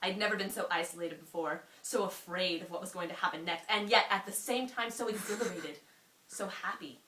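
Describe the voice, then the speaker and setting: A woman's voice: on the low side, fairly quick, sharp tone. A woman reading the part of an anxious, lovestruck male teacher from a story, earnest and confessional. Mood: yearning, uneasy. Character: lovestruck, anxious male teacher narrating his secret affair. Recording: roomy echo, faint hiss.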